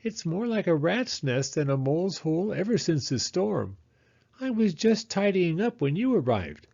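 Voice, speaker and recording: A man's voice: high voice, sing-song, moderate pace, gravelly, a little mellow, lo-fi sound, voice pushed up, full character voice. A man voicing a friendly, chatty female mole in a children's storybook, fussy and sociable. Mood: welcoming.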